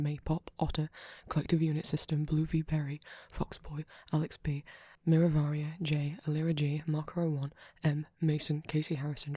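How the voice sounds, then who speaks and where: A soft-spoken man, an ASMR creator, reading a channel outro and supporter names in a list-like way. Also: fairly high pitch, no echo.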